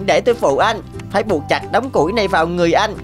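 A woman's voice: lowish voice, lively intonation, fast, over music, close to an everyday voice. A woman voicing a small, clever cartoon rabbit with an earnest, coaxing manner. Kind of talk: animated cartoon dialogue.

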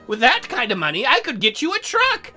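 Woman voicing a cutesy high-pitched young demon girl. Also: wildly swooping pitch, a little fast, bright, forceful tone, slightly rough, faint music behind, exaggerated voice. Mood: cheerful. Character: young female demon soul-buyer, polite but sulky and lonely. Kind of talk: visual novel character read aloud.

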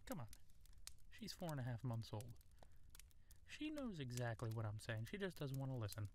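A man acting as a gentle, affectionate young husband and new father in an intimate, one-sided audio roleplay, soft-spoken and tender. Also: mid-range voice, very animated, moderate pace, a little hiss or hum, close to an everyday voice. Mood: playful, cooing. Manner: tender, soft-spoken, playful baby talk at times.

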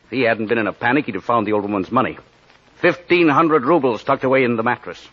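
An actor playing a sly, patient police inspector in an old-time radio drama, needling with drawn-out, feigned casualness. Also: male voice, mid-range voice, a bit bright, husky. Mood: sly, taunting. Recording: dull, lo-fi old radio recording.

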